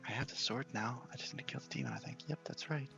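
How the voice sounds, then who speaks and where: A man giving casual, understated ASMR gameplay commentary in a breathy half-whisper close to the mic. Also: medium pitch, fast, highs cut off, over music. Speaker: video game player and host.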